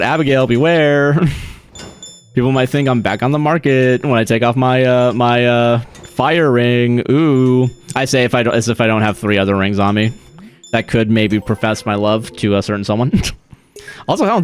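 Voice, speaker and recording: A man's voice: mid-range voice, normal speed, smooth. Profane, laughing male game streamer. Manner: profane, laughs often, chatty asides to chat. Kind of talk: casual live-stream chat while gaming.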